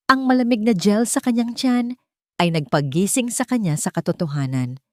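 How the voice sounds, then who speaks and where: A woman's voice: mid-range voice, a little mellow, dry recording, clean studio sound. A woman reading a scripted romantic story as a dramatic narrator. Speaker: narrator of romance audio stories.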